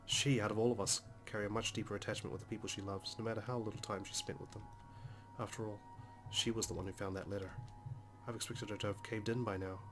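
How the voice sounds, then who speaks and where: Low, even-toned man narrating over music. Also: fast. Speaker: let's play host reading the game's text. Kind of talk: dramatic reading of first-person story narration. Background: game music.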